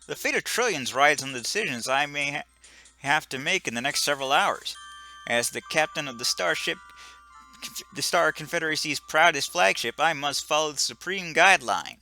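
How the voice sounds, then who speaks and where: A man's voice: a little high, lively intonation, normal speed, bright, forceful tone, soft background music, heavily acted. A man reading the lines of a pompous young male space cadet who daydreams of being captain, stumbling over some words. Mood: self-important.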